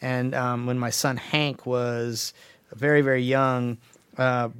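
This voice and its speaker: Male podcast guest, middle-aged, clear resonant voice, thoughtful halting delivery, dry recording. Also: mid-range voice, flat delivery, fairly bright tone, dry recording. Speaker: podcast guest, game industry audio designer. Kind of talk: casual conversational podcast talk.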